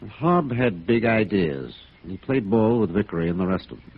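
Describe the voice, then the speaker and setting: An actor playing a principled, cautious small-town American newspaper editor in a vintage radio drama, measured and matter-of-fact. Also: male voice, fairly low pitch, very animated, slightly rough, heavily acted. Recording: dull lo-fi old radio recording.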